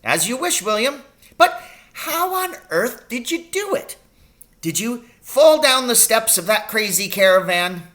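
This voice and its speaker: A man voicing an excitable, kindly little old country doctor in a storybook, animated and full of relish. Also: very high voice, a little slow, voice pushed up, full character voice. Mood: kindly, curious.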